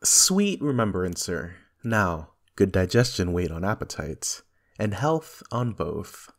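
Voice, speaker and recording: A man playing a guilt-ridden usurper king in a Shakespearean dramatic reading, with measured, declamatory verse delivery. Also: sits low, very animated, gravelly, full character voice. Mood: courteous. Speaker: amateur actor in a library's dramatic reading.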